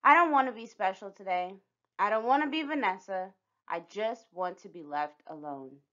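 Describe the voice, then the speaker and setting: A woman's voice: mid-range voice, expressive, normal speed, fairly bright tone, muffled recording, natural voice. A woman voicing a shy, self-conscious, earnest little girl in a storybook. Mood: sullen.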